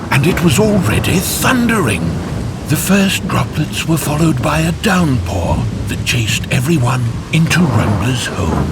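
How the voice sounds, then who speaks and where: A man narrating a scripted children's fairytale in an expressive, animated storytelling way. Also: medium pitch, gravelly, heavy background noise.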